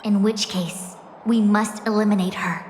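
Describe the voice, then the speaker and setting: A woman's voice: mid-range voice, fairly even intonation, measured pace, a little mellow, a little hiss or hum, natural voice. A woman voicing a composed, haughty and cryptic sage in a video game, speaking in formal, philosophical phrases. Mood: cold, decisive. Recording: echoey hall reverb, music behind.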